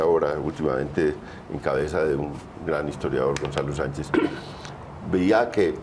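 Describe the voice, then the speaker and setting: A man hosting a studio panel, speaking reflectively and asking halting questions with restarts. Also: very deep voice, a little mellow, slight background noise. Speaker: panel host.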